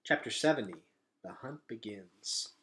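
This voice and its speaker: A man reading a children's story aloud as narrator, in an expressive storytelling style. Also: on the low side, unhurried, a little hiss or hum.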